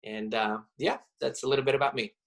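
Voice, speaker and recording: Man, interview guest, thoughtful, pauses and fillers. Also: mid-range voice, creaky, a bit bright. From Romania. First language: Romanian. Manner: reflective, halting, many fillers. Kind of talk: casual interview.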